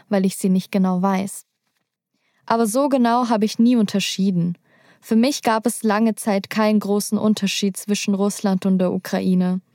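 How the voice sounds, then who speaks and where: A young adult woman, a journalist, narrating a personal documentary in a calm, measured, scripted way. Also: mid-range voice, dry recording, studio quality.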